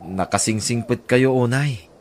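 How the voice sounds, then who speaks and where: A man's voice: mid-range voice, measured pace, a little mellow, slight background noise, close to an everyday voice. An actor with an Ilocano accent playing a humble, hardworking middle-aged father in a radio drama. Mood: humble, grateful. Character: humble, hardworking poor father and laundry worker.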